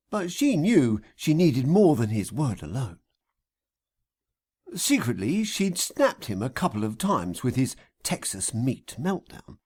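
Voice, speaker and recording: A man reading a satirical audiobook story in a theatrical, deliberate manner. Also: a little high, a little mellow, breathy edge. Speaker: author reading an audiobook extract. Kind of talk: scripted satirical audiobook narration.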